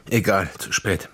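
An actor playing a seasoned, weary middle-aged politician under pressure in a radio crime drama, terse, guarded and sardonic. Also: male voice, low voice, a bit bright, slight background noise, exaggerated voice.

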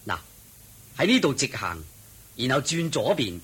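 A man's voice: mid-range voice, sing-song, measured pace, fairly bright tone, husky, exaggerated voice. A man with a Hong Kong Cantonese accent playing a helpful servant who explains things obligingly in a radio drama.

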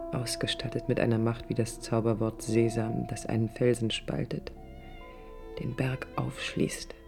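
An actress playing a sensitive, proud yet vulnerable young woman architect, narrating her inner thoughts in short halting phrases for a radio drama. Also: a bass voice, creaky, darkish tone, heavily acted. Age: young adult.

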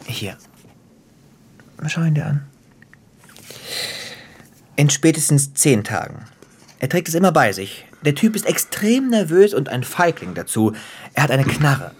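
A man acting in a German radio play as a cruel, gleeful crime boss, theatrical and taunting, with a husky voice that drops into whispers. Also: on the high side, big pitch swings, unhurried, long pauses, a little hiss or hum. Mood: taunting, menacing. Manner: theatrical, taunting, savoring storyteller.

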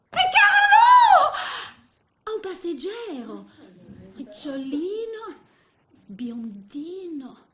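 A woman's voice: high-pitched, fairly bright tone, heavily acted. A woman playing a vain, snobbish, flirtatious businesswoman in a comic stage monologue, theatrical and gushing, dropping in French exclamations. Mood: shocked, jealous. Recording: roomy, echoing sound.

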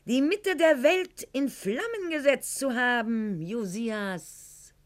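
A woman's voice: high-pitched, animated, takes it slowly. An actress playing a proud, sentimental aristocratic lady of Goethe's era in a radio drama, with a mannered, articulate, declamatory delivery. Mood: imperious, lecturing. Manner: mannered, articulate, declamatory, swinging to hushed confiding tones. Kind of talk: theatrical radio drama monologue.

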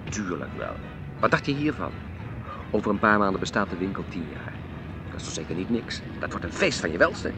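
An actor playing a jocular, complacent married shopkeeper in a radio play. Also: male voice, low voice, brisk, music in the background. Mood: cheerfully persuading. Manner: animated, placating, teasing.

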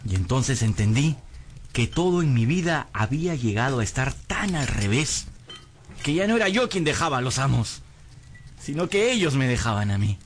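A man's voice: medium pitch, sing-song, moderate pace, slight background noise, close to an everyday voice. An actor in a radio drama playing a humble, hapless young servant, earnest and plaintive as he tells his misfortunes. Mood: rueful, narrating. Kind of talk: radio drama acting and scripted narration.

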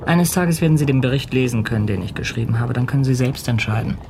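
An actor playing a calm, authoritative ship's captain in a radio play, courteous and reflective. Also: male voice, mid-range voice, fast, noisy background, natural voice. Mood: composed, firm. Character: composed, authoritative male ship's captain on polar voyage.

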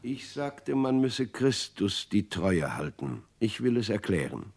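An earnest intellectual writer in a radio play. His low voice delivers a diary monologue, reflective yet emphatic and declarative. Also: very animated, slow pace, gravelly, darkish tone, slightly rough, heavily acted. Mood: earnest, insistent. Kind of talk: literary radio drama monologue.

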